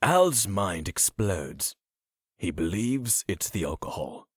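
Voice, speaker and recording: Male actor as grave comic-book narrator, low, measured, swooping delivery, dramatic. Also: sing-song, no room sound, clean studio sound, exaggerated voice. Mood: grave, suspenseful.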